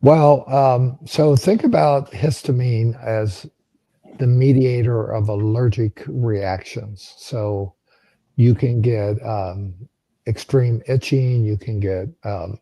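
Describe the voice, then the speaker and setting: Hoarse guy, super dark husky voice, explaining stuff in a live q&a, lots of ums, dry sound. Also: mid-range voice, slow, no room sound, low-quality recording. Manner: explanatory, with many ums and uhs. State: hoarse, losing his voice. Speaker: co-host answering health questions.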